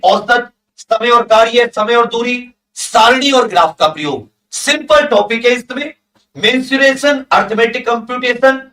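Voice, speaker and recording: A man speaks in a very high-pitched voice as a coaching teacher. He goes through a list item by item, dropping in English technical terms. The room is clearly echoey and he sounds some distance from the microphone. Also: pushed and bright. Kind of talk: lesson, reading through a syllabus list with brief comments.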